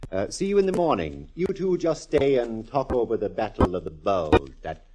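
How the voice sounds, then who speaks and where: A man's voice: fairly low pitch, big pitch swings, normal speed, heavy background noise. An actor playing a whimsical, affectionate male sidekick in a 1940s radio drama, with an arch, droll manner. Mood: droll, breezy. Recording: vintage 1940s radio recording.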